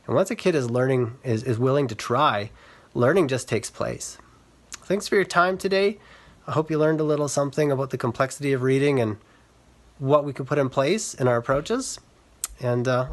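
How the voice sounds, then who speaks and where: Man explaining as an educator. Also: medium pitch. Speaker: reading specialist and educator. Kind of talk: explanatory talk to camera for social media.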